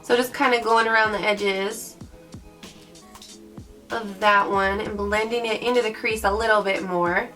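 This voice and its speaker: A young woman with a raspy voice from recent illness, chatting bubbly through a makeup tutorial and calling her viewers guys. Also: mid-range voice, fairly even intonation, unhurried, pauses often. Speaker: beauty vlogger. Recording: mic at a distance, soft background music.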